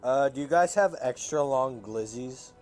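A man's voice: mid-range voice, lively intonation, a little slow, a little mellow, faint music behind. A young man making prank calls as a video host, chatting casually in a slangy, jokey way, his voice cracking at times. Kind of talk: casual prank-call chat.

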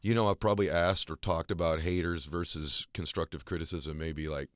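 A man's voice: low-pitched, steady tone, fairly quick, fairly bright tone, no room sound, studio quality. A middle-aged man hosting an interview podcast, enthusiastic and affirming toward his guest. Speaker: podcast host.

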